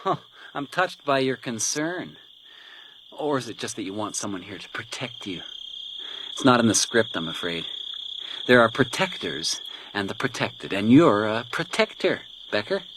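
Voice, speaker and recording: A man's voice: mid-range voice, sing-song, lightly acted. An actor playing a menacing, vengeful man with a taunting, theatrical delivery in a detective radio drama. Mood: coldly menacing. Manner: taunting, theatrical, deliberate when reading letters aloud. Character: menacing vengeful man, grieving brother, cruelly mocking and taunting. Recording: noisy night outdoor ambience with insects.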